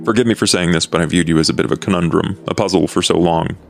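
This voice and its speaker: A man voicing a brooding, enigmatic male vampire who speaks in a deliberate, formal, roundabout way in improvised roleplay. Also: low-pitched, fairly even intonation, gravelly, soft background music. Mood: brooding, intrigued. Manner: deliberate, formal, talks in circles. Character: brooding, soft-spoken, enigmatic male vampire fixer named Duke.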